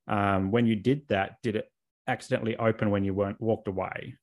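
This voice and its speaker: Low-voiced man sharing his story. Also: steady tone, fairly quick. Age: adult, over 28. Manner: reflective, explanatory, informal. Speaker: interview guest sharing personal experience. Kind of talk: candid personal storytelling in conversation.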